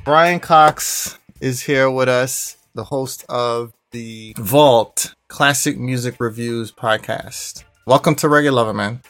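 A man's voice: mid-range voice, takes it slowly, few pauses, a bit bright, soft background music. A man from Guyana hosting a podcast, who talks in an easygoing way and keeps dropping "you know what I'm saying.". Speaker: podcast host. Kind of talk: casual conversational interview.

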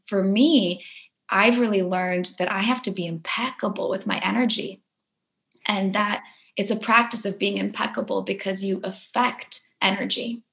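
A young adult woman from Poland speaks as an interview guest in a soft, thoughtful way. She often starts a phrase over and laughs lightly as she goes. Her pitch sits in an ordinary middle range. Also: vocal fry. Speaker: guest, herbalist and green witch. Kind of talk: reflective interview talk.

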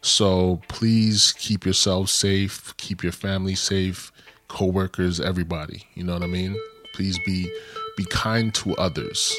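A man with a New York accent co-hosts a podcast. His voice sits very low, and he talks in a laid-back, slangy but earnest way. Faint music plays in the background. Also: steady tone, measured pace, fairly bright tone. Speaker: podcast co-host. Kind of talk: casual chat.

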